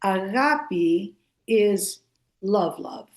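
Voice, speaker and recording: A woman whose first language is Greek, answering questions as a guest author. She speaks slowly and takes pauses. Her pitch is ordinary and her manner is thoughtful. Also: lively intonation. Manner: slow, thoughtful, with pauses and fillers. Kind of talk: casual conversational interview.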